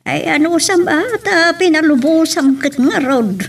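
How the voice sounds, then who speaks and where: A woman's voice: sits high, measured pace, vocal fry. A woman acting a disapproving, nagging mother of a grown son in an audio drama, with an Ilocano Filipino accent. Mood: grumbling. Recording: distant mic with faint noise.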